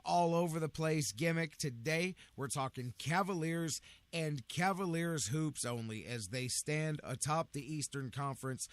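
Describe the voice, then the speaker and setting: Gravelly-voiced energetic radio host man. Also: on the high side, measured pace, dry recording. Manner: energetic, rambling, catchphrase-heavy. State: gravelly, short on sleep. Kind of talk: sports talk radio.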